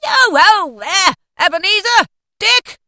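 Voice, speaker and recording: A woman's voice: lively intonation, slow, pushed and bright, no echo, clean studio sound, full character voice. A woman voicing a jovial, fat old master merchant, calling out heartily in a rich voice. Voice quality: oily, rich, booming.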